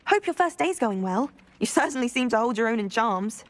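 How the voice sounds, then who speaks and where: A woman's voice: a little high, lively intonation, fairly quick, natural voice. A woman playing a friendly, eager teenage witch schoolgirl in a video game. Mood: friendly, curious.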